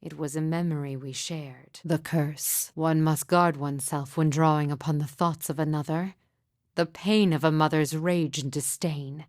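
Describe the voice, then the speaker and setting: A woman playing a grieving, mystical midwife in a fantasy game, speaking in a solemn, formal, measured way with deliberate pauses. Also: low voice, fairly even intonation, darkish tone, no echo, studio quality, close to an everyday voice. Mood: sorrowful, haunted. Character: grieving mother, solemn sorrowful mystic midwife with haunted calm. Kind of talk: scripted fantasy game dialogue.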